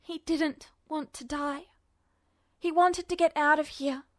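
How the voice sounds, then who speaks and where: Female story narrator, high voice, dramatic fiction reading, dry close sound. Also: steady tone, takes it slowly, no echo.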